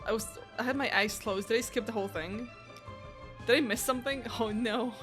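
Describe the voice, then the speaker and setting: A woman's voice: a little high, a little fast. A woman streaming a game, giving casual live reactions in short, informal interjections. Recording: game music behind the voice.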